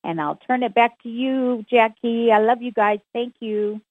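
A woman channeling a message in a measured, formal way of speaking. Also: a little high, steady tone, dry recording. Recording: tinny dry phone line.

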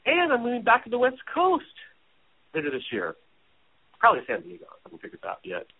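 A man's voice: high-pitched, wildly swooping pitch, quick pace, slightly rough. A man in his forties from Hawaii, a business strategist speaking as a guest in a casual interview from home, chatty and self-deprecating. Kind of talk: casual talk show interview. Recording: phone-like audio.

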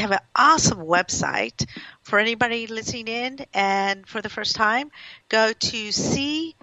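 Bright-voiced effusive radio host woman. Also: fairly high pitch, dry recording. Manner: effusive, rambling praise. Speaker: radio talk show host. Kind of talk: casual radio interview. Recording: dull, lo-fi radio audio.

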